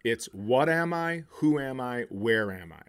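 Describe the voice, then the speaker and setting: A middle-aged man with a mid-pitched voice hosts a comedy podcast. He tells stories casually and profanely, acting out quoted lines as he goes. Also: a little slow, clean tone. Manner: profane, animated retelling with acted-out quotes. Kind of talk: casual comic storytelling.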